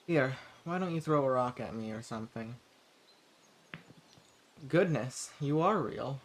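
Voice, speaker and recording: A man playing a centuries-old hermit vampire, eccentric and sweet-talking with quiet menace, in a scripted roleplay audio drama spoken to the listener. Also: medium pitch, lively intonation, a little slow. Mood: surprised, curious.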